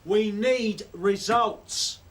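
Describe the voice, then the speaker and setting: A man playing a world-weary, hard-nosed detective inspector, gruff and sardonic, in a TV police drama. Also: high voice, drawn-out words. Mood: exasperated. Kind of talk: naturalistic TV police drama acting. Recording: slightly distant, noisy TV drama sound.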